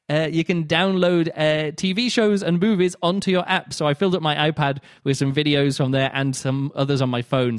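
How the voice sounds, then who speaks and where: A man's voice: mid-range voice, no room sound, clean studio sound. A man hosting a solo podcast, chatting casually and rambling with many fillers and restarts. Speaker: podcast host.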